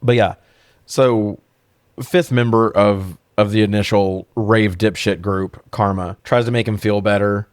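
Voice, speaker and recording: A man's voice: lowish voice, fairly even intonation, a little slow, dry recording, clean studio sound. A man co-hosting a casual film review, recapping scenes with sarcastic, sweary banter. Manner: sarcastic, profane. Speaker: podcast co-host reviewing films.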